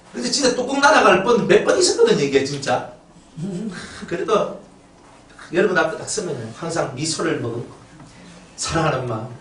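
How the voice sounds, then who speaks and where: A male pastor with a Gyeongsang Korean accent delivers a lively, joking sermon, his pitch jumping up and down. His voice sits fairly high for a man. It is picked up from a distance in an echoey church hall, with faint noise underneath. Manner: animated and joking, acts out quotes in dialect. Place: church sanctuary.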